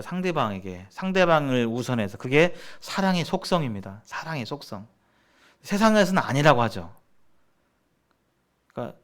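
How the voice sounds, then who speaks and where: A middle-aged man from South Korea teaching in a sermon-like seminar style, explaining haltingly and restating his phrases as he goes. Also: fairly high pitch, a bit bright, clean tone. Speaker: church seminar preacher.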